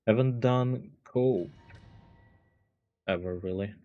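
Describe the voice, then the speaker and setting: A man's voice: lowish voice, slow pace, highs cut off, faint music behind. A man giving casual game livestream commentary, muttering and thinking aloud to himself.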